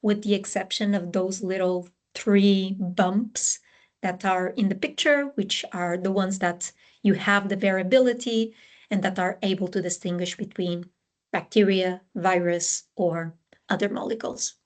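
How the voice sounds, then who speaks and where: A woman from Portugal with a Portuguese accent, a scientist guest explaining her work and career in a relaxed interview, with frequent fillers and restarts. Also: medium pitch, a little slow. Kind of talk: conversational interview answers.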